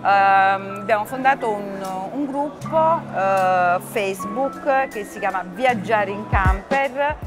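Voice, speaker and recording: A woman's voice: medium pitch, slow, few pauses, over music. A middle-aged woman from southern Italy, a nurse and author, answering interview questions hesitantly with many fillers. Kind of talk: casual interview. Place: studio with printed backdrop.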